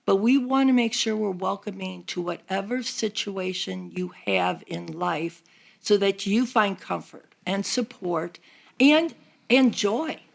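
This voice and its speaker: Older American woman with a creaky voice. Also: mid-range voice, animated, unhurried. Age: 60s or older. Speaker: church seniors' group member. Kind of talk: casual group conversation.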